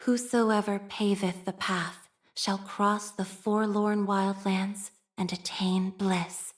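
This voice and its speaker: A woman voicing a devout, shy young priestess in a video game, speaking formally and with measured, prayer-like phrasing and a machine-minded reserve. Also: mid-range voice, flat delivery, lightly acted. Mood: solemn, reverent. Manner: formal, measured, liturgical phrasing with hesitant shyness. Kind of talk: scripted game character voice lines.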